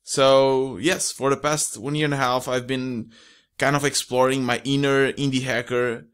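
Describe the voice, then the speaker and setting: Young male podcast guest, Portuguese accent, mid-pitched voice, casual conversational delivery, close mic. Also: little pitch movement, normal speed, a bit bright, clear, resonant tone. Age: young adult. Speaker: podcast guest, indie entrepreneur. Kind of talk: casual interview chat. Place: small room at home. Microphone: close studio mic with pop filter.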